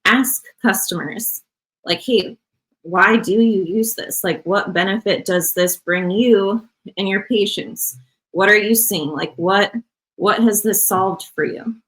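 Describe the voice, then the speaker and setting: A woman who works in medical device sales, speaking as a podcast guest in a relaxed, rambling way full of fillers. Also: medium pitch, slow pace. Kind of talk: casual podcast conversation.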